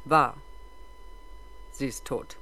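A woman's voice: very slow, bright, forceful tone, slight background noise. A woman playing a proud middle-aged mother-in-law facing police questions in a 1970s German radio crime play. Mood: indignant, wounded. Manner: chatty, then sharply indignant.

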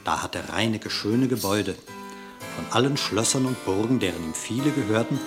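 A man's voice: fairly low pitch, expressive, normal speed, over music. A man narrating a verse animal fable in a radio play, with declamatory, theatrical storytelling.

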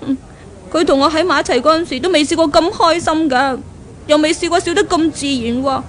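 A woman's voice: high voice, a little fast, lightly acted. A woman with a Hong Kong Cantonese accent playing an impulsive, jealous young woman spurned in love, thinking aloud in exclamations in a 1970s radio drama. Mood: jealous, bitter. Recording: noisy old radio drama recording.

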